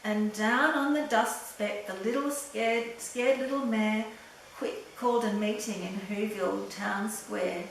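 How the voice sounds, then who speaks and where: A woman's voice: medium pitch, steady tone, measured pace, creaky. An older woman storyteller reading a children's story aloud expressively. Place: echoey room, away from the mic. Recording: roomy echo, distant mic, faint noise.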